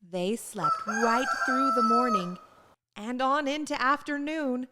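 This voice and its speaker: A woman reading a children's storybook aloud with expressive, sing-song emphasis. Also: high voice, takes it slowly, very noisy. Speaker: children's book reader.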